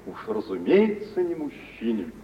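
An actor playing a suave, self-assured gentleman shipowner in an old Russian radio drama, ironic and smoothly condescending, in a theatrical manner. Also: on the high side, big pitch swings, unhurried. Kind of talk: mid-20th-century Russian radio theatre acting. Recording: muffled, hissy old radio drama recording.

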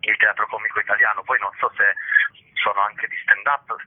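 A man's voice: on the low side, fairly even intonation, brisk, husky, narrow, tinny audio. A man, actor and author, talking about his show on the radio in a self-deprecating way, often chuckling and restarting. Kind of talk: radio interview.

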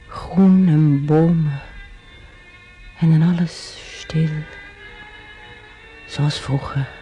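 An actress playing an elderly woman patient in a Dutch radio play, with a creaky voice and a homely, rambling way of talking. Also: low voice, mellow, full character voice. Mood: dreamy, wistful.

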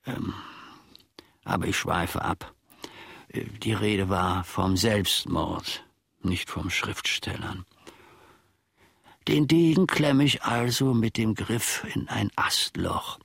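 An actor playing a melancholy, self-mocking old manservant in a radio play monologue, ruminating haltingly with long dramatic pauses. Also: male voice, lowish voice, vocal fry, a bit bright, breathy edge, dry recording, exaggerated voice. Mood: brooding, rambling. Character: melancholy, wryly self-mocking old manservant looking back on his life.

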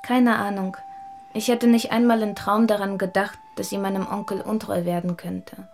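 A woman's voice: medium pitch, little pitch movement, moderate pace, creaky, a little mellow, slight background noise, close to an everyday voice. An actress playing a friendly young serving girl, artless and obliging, in a radio drama. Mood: gossipy. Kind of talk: German radio drama acting.

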